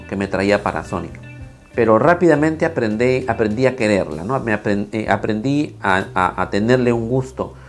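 A man in his thirties, slightly hoarse, talking casually on a lapel mic in a small home studio, with many fillers and restarts. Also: medium pitch. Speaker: video creator reviewing camera footage. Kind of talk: casual talk to camera, then screen walkthrough.

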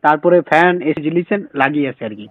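A man's voice: a little high, lively intonation, moderate pace, slight background noise. A man with a West Bengal accent making a comic prank sales call, insistent and repetitive with feigned innocence. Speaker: prank caller. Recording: muffled, lo-fi audio.

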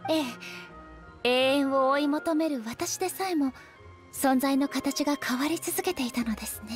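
A woman plays a solemn goddess who rules a land in a game. The voice is high and calm, with formal, measured, introspective delivery over music. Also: lightly acted. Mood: solemn, reflective. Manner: formal, measured, polite speech. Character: dignified, introspective female deity ruler, calm and solemn. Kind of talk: Japanese game dub acting.

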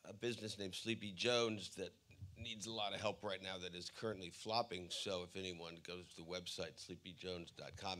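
A man in his fifties telling anecdotes haltingly on a stage panel into a handheld microphone. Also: sits low, pushed and bright. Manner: halting, pausing between phrases. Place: museum auditorium stage.

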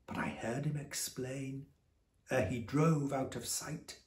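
A man's voice: steady tone, slow. A man in his fifties reading a Christmas poem aloud as a theatrical storyteller, recorded in a room at home. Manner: theatrical storytelling, hushed to booming. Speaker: poetry reader. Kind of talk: expressive recital of a Christmas poem. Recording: roomy indoor echo.

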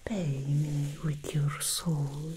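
A woman's voice: deep voice, flat delivery, background noise. Woman whispering as a seductive female demon stylist. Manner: slow, breathy whisper, teasing and seductive. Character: alluring female demon hairstylist from the underworld. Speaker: ASMR roleplay performer. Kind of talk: whispered ASMR roleplay. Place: dark indoor studio set.

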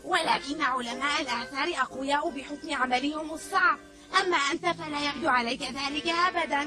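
A woman's voice: sits high, quick pace, lightly acted. A woman voicing a suspicious, cranky old hermit woman in a dubbed cartoon, scolding and haughty. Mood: scornful. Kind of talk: Arabic cartoon dubbing. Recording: music under the voice.

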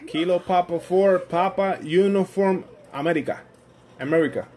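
Higher-pitched man on ham radio. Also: normal speed, background noise. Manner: friendly, repeats himself, often says "my friend". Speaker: amateur radio operator. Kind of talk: ham radio contacts, casual exchanges with call signs.

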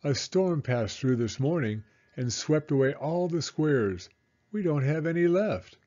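A man's voice: a little high, animated, a little slow, dark tone, muffled recording. A man voicing a dramatic, easily astonished town mayor in a children's storybook, with emphatic delivery. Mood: regretful.

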